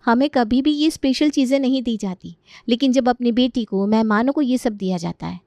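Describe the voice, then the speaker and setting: A suspicious young bride acted by a woman in an audio story, outspoken and insistent. Her voice is high, recorded dry and close. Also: a little fast, darkish tone, no room sound, lightly acted. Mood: insistent, resentful.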